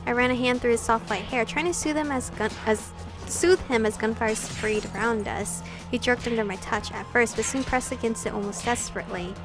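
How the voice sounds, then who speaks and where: A woman hosting a visual novel let's play, reading aloud and reacting in an expressive, sweary, giggly way. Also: on the high side, fairly quick. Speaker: gaming video host. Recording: music playing behind the voice. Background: background pop music.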